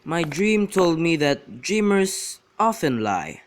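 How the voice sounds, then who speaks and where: A witty, teasing young gentleman in an amateur radio play, acted by a young man, with a slightly high voice. He banters and declaims, and clear noise and clicks sit on the homemade recording. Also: sing-song, slow. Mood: fanciful storytelling. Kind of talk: amateur student radio drama acting.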